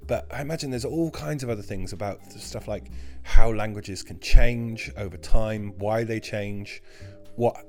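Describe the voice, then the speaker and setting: A man's voice: on the low side, normal speed, faint music behind. A man interviewing a guest, asking long, hesitant questions full of "um" and "kind of". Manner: hesitant, lots of "um" and "kind of", restarts.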